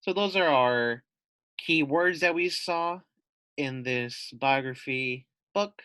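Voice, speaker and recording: A man's voice: mid-range voice, slow, pushed and bright, clean tone, dry recording. A man giving a read-aloud lesson for young children as their teacher, explaining step by step, posing questions and repeating key points.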